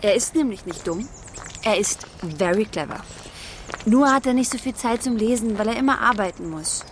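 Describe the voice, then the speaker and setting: A woman acting in a radio drama as a lively, outspoken young daughter, proud and teasing, who drops English phrases into her speech. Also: a little high, moderate pace, slight background noise, lightly acted. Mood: earnest, proud.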